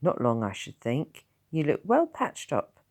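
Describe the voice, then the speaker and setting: Gruff kind old country guy, blunt and calm, deep lively voice, woman reading him. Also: measured pace, heavily acted. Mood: gruff, plain. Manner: blunt rural dialect, calm. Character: gruff kindly old countryman, plain-spoken.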